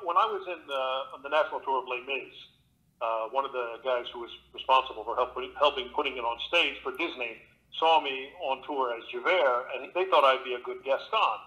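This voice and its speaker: A man with a big, bright, forward voice, chatting casually as an interview guest. He wanders through his stories, restarting sentences and filling gaps with "uh". His sound comes over a thin remote-call connection. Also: mid-range voice, a little fast, dull audio. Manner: relaxed storytelling with many fillers and restarts. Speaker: guest actor and audiobook narrator. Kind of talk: conversational interview.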